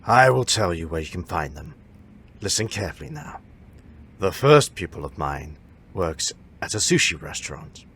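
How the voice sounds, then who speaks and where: A man playing a stern older martial arts master in an audio drama, measured and authoritative as he instructs. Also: deep voice, sing-song, fairly bright tone, husky, full character voice. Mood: instructing.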